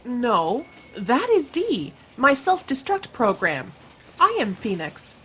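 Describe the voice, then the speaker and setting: A woman plays an efficient, matter-of-fact sentient spaceship in a sci-fi comedy. The voice is high and animated, with a businesslike manner. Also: slow pace, background noise, full character voice. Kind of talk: comic sci-fi audio drama acting.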